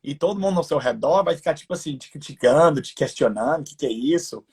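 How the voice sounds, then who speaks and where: Husky American man speaking Portuguese. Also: on the high side, fast. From United States. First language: English. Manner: relaxed, laughing, tag questions with "né". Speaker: guest entrepreneur. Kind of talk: live interview chat.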